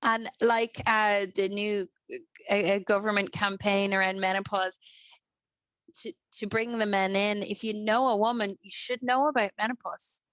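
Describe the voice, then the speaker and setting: A woman's voice: medium pitch, fairly even intonation, moderate pace, a bit bright, dry recording. A woman speaking as a guest in a casual podcast interview, earnest and upbeat with some hesitant fillers.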